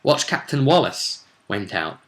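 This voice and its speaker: A man's voice: lowish voice, sing-song, unhurried, a bit bright. A man with a British accent giving a chatty, emphatic recap of a tabletop roleplay session as its host, talking straight to his audience. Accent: British English. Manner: emphatic, conversational, addressing the audience. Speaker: game master and host.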